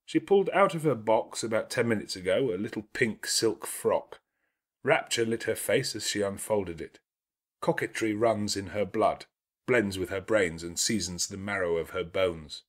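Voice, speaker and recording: Man acting a commanding, brooding English gentleman, slightly husky. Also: fairly low pitch, normal speed, lightly acted. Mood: intense, confiding. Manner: grandiloquent, sardonic, emphatic. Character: brooding, domineering, teasing middle-aged English gentleman. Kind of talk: dramatized classic novel audiobook reading.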